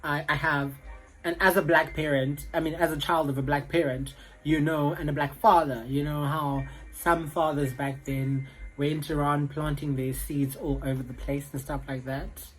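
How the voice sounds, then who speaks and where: A man's voice: fairly high pitch, level tone, moderate pace, rough recording. A young man with a South African accent, chatting casually to camera in a rambling way full of fillers. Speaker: vlogger.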